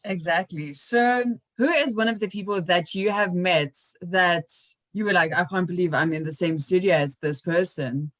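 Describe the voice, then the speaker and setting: A woman's voice: fairly low pitch, normal speed, fairly bright tone. A woman hosting a podcast, asking questions in a casual, chatty interview style. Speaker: podcast host.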